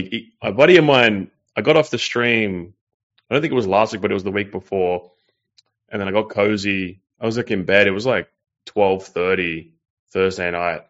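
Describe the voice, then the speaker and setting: A man hosting a livestream and reading viewer messages, talking in a loose, rambling way with plenty of swearing and fillers. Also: low-pitched. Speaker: livestream host reading super chats. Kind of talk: casual livestream chat. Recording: slightly muffled stream audio.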